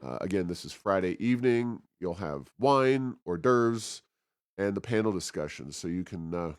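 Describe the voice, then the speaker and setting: Deep-voiced man, conversational podcast co-host. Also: lively intonation, moderate pace, clear, resonant tone, dry recording, studio quality. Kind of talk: casual news commentary and event announcements.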